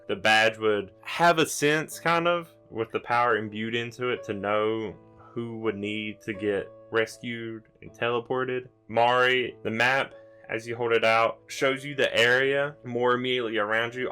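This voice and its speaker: A man runs a tabletop roleplaying game as its narrator. His voice sits a little low but is clear and bright. He explains the scene in an improvised, instructive way.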